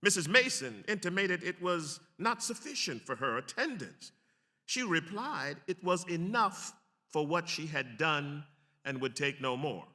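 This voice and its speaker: A man's voice: fairly high pitch, lively intonation, unhurried, bright, forceful tone. A man giving a formal, emphatic dramatic reading of a historic account. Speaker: actor reading aloud. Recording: long hall reverb.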